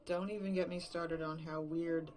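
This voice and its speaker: Horror podcast lead: actress playing an unraveling woman alone in an empty world, slightly low register, halting and sweary confessional, light background noise. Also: fairly even intonation, darkish tone, close to an everyday voice. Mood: anxious questioning. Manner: rambling, halting, self-questioning, profane. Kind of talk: serial horror fiction podcast monologue, confessional.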